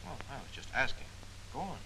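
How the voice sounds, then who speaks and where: An actor playing a skeptical, probing intelligence officer in a 1940s training film, questioning dryly. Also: male voice, mid-range voice, sing-song, brisk, pushed and bright, poor audio. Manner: dry, questioning, slightly wry. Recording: 1940s film soundtrack with faint hiss.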